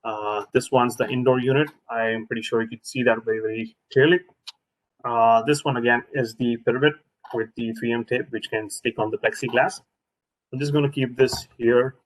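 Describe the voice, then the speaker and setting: A man's voice: mid-range voice, steady tone, moderate pace, low-quality recording. A man presenting an informal product demonstration as a trainer, speaking hesitantly and often repeating himself.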